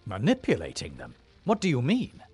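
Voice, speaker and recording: Lively, resolute fantasy hero. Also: male voice, mid-range voice, normal speed, loud music behind the voice. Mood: wary. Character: resolute, dry-witted male fantasy hero. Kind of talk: fantasy game cutscene acting.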